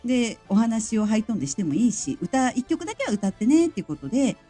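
A woman chatting in a lively, casual way as a guest on a live audio stream, with music behind her. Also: fairly high pitch, quick pace, breathy edge. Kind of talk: casual group chat and announcement.

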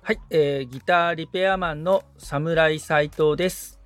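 A man's voice: fairly high pitch, steady tone, measured pace, a bit bright. A man who works as a guitar repairman, assisting on a podcast and speaking modestly and hesitantly, thinking aloud. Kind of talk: casual podcast conversation.

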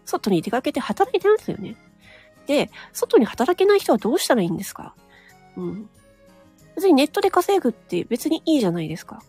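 A woman with a higher, breathy voice that swings up and down lively as she talks. She speaks alone in a frank, chatty monologue, full of little nodding asides, while faint music plays behind her. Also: brisk. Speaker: solo audio broadcaster. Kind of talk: casual solo monologue.

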